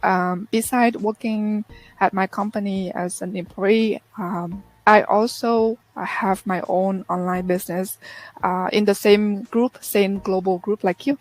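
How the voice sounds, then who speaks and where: Woman in her 30s, thoughtful and sincere, talking over a video call, mid pitch. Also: fairly even intonation, unhurried, dry recording, rough recording. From Vietnam. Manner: earnest, reflective storytelling. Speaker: interview guest. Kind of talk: casual interview chat.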